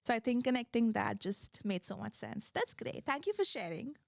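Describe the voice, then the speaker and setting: A young woman hosts an interview podcast with an upbeat, encouraging manner. Her voice sits a little high and carries a gravelly fry. The recording is close and dry. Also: sing-song, moderate pace, dry recording. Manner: upbeat, encouraging, reads a scripted intro. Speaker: podcast host.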